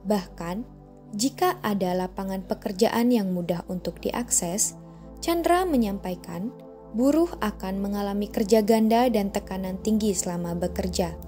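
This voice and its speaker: A woman narrates a documentary report in a mellow, soft-edged voice. Faint music runs underneath. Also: mid-range voice, unhurried. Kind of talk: scripted narration.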